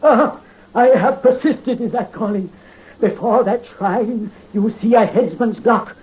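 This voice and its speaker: A man's voice: very high voice, a little slow. A grim dying old headsman, bossy and bitter, muffled old radio sound. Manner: grave, commanding, fading into death. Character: dying old male executioner, vengeful and commanding. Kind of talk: 1930s radio drama acting. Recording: dull lo-fi 1930s radio recording.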